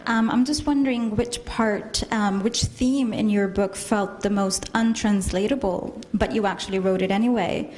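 A woman's voice: medium pitch, fairly even intonation, normal speed, hardly any pauses, darkish tone, poor audio. A woman moderating a book talk, asking the author polite questions with a few fillers.

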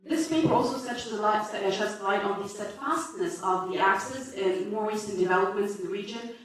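A woman with a Lebanese accent presents her paper at a conference, pausing often on fillers and correcting herself mid-sentence. She stands back from the microphone. Her voice rings through a large, strongly echoing hall. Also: medium pitch. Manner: halting, many fillers and self-corrections. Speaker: conference speaker. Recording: echoey hall from far off.

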